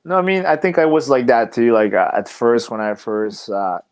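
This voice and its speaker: A man's voice: medium pitch, sing-song, normal speed, clear, resonant tone. Young man rambling with many fillers. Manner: rambling and reflective, many "like" and "you know" fillers. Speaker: professional wrestler, podcast guest. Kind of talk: casual interview chat.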